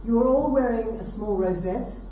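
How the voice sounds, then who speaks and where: Reverberant hall, distant female presenter, solemn, measured phrases, ceremonial introduction. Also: a little high, mellow. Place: hall with seated audience, indoors. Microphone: distant camera. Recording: very echoey room, distant mic, slight noise.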